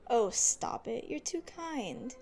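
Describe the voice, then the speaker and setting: A woman playing a sweet, chatty young noblewoman in an audio drama, expressive and affectionate. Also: fairly high pitch, takes it slowly, gravelly, soft background music, exaggerated voice. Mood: delighted.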